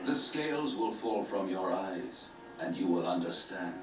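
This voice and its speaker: A solemn grand master preaching the end of the world, lofty and grand, game audio playing far off in a roomy room with music under it. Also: male voice, sits low, expressive, a little slow. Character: grave male grand master of an order, preaching doom.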